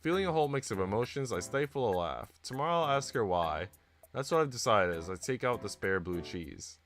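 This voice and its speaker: A man's voice: on the low side, animated, a little fast, fairly bright tone. A man streaming, reading a visual novel aloud and chatting casually. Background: music.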